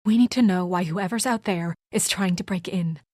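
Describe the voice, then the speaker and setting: A woman's voice: on the low side, moderate pace, darkish tone, no room sound, lightly acted. A woman acting as a composed, earnest young investigator in a noir fantasy video game, serious and restrained with a dry edge. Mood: earnest, firm. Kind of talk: video game voice acting, naturalistic dramatic dialogue.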